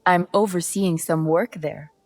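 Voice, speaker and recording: A woman's voice: fairly low pitch, fairly even intonation, measured pace, soft background music, close to an everyday voice. An actress playing a bold, boastful, self-assured noblewoman of feudal Japan in a video game cutscene. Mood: assured, probing. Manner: self-assured, teasing, haughty.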